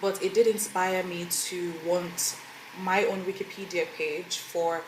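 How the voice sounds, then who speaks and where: Woman guest, low voice. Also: little pitch movement, background noise. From Nigeria. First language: Igbo. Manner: measured and explanatory. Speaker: guest, open research adviser. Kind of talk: interview answers. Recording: roomy echo.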